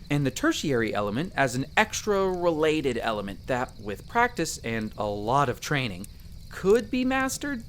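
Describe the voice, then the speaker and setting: A man playing a friendly, earnest young elf explorer in roleplay audio, explaining things chattily with hesitant pauses. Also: fairly high pitch, a bit bright, a little hiss or hum. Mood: proud, explaining. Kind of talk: character roleplay audio addressed to the listener.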